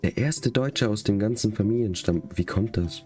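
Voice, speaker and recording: A man's voice: fairly low pitch, normal speed, a little mellow. A man doing casual livestream commentary on a game, with wry joking asides and some dialogue read aloud. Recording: game music behind the voice.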